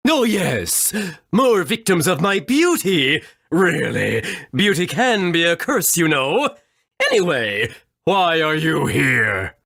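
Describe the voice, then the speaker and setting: Game creature: vain, effeminate fish monster, theatrical and coy, slow delivery with huge pitch swings. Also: male voice, sing-song, gravelly, sharp tone, dry recording, studio quality, exaggerated voice. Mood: vain, affronted. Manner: theatrical, preening, coquettish. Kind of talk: video game character acting.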